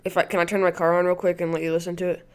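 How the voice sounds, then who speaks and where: A man's voice: high voice, completely flat delivery, rapid-fire, clear, resonant tone. A man making a prank phone call, chatting with an earnest, clueless manner. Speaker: prank caller.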